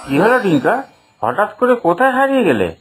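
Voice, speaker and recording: A woman voicing a gentle, concerned young husband in an animated fairy tale. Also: medium pitch, quick pace, slightly rough, soft background music.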